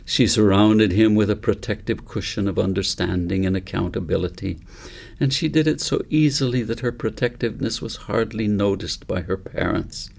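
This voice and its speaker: A man reads a story aloud as an audiobook narrator. His voice sits fairly low, with a very gravelly, creaky edge. He phrases things gently and deliberately, in a measured storytelling manner. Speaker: author reading own book aloud.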